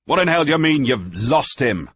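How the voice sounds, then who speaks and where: A man shouting as a ship's sailing master in a gale. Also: mid-range voice, big pitch swings, moderate pace, slightly rough, pitched above the performer's own voice. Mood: shouting urgently. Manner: shouting, urgent, commanding.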